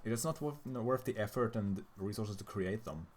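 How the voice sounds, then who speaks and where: A man's voice: fairly low pitch, fairly quick. A young man from Sweden, a native Swedish speaker, chatting casually on a livestream, blunt, profane and tired as he answers questions. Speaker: streamer answering chat questions.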